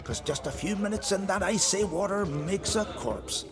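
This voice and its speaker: Boastful old sea dog, raised pitch, blustering and scornful, game scene with loud music. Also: male voice, expressive, moderate pace. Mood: boastful, salty. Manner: blustering, salty, long-winded. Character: grumpy boastful old seafaring islander man. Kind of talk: video game acting.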